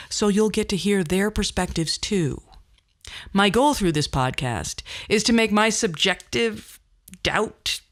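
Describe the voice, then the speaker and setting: Wry middle-aged woman hosting a podcast. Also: mid-range voice. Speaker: podcast host. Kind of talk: scripted podcast monologue.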